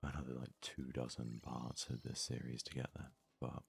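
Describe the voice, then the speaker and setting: A man giving casual ASMR let's play commentary in a very soft, hushed, breathy voice, murmuring his thoughts aloud without hurry. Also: rumbling low, monotone, a little hiss or hum. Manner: unhurried, murmured thinking aloud. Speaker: gaming video host.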